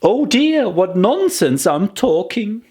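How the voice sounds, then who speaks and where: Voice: medium pitch, big pitch swings, takes it slowly, darkish tone, voice pushed up. A storybook narrator voicing a fretful, chatty, prim young girl who muses aloud to herself in exclamations. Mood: fretful, whimsical.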